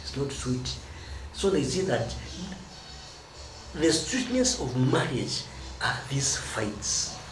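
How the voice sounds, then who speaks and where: A man's voice: mid-range voice, big pitch swings, slow, pauses often. A Kenyan man giving marriage advice as a teaching talk, stressing key words and drawing them out. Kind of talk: teaching monologue. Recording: roomy echo from a distance, noisy.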